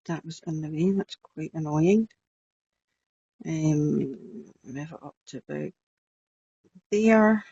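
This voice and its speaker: A woman's voice: low voice, slow, vocal fry, long pauses, dark tone, breathy edge, no room sound, lo-fi sound. A woman with a Scottish accent, chatting her way through a step-by-step crafting tutorial with blunt, frank asides. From Scotland.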